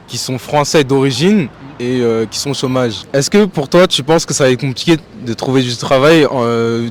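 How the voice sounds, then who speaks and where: A man's voice: mid-range voice, a little hiss or hum. A man hosting a street interview outdoors in Paris, asking passersby casual, probing questions with informal follow-ups.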